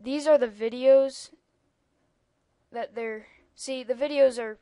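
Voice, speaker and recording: A woman's voice: sits high, fairly even intonation, slow pace, mellow, smooth, dry recording, clean studio sound. A young-sounding female speaker giving a rambling, casual computer tutorial over a screen recording, with lots of ums and asides. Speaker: tutorial maker.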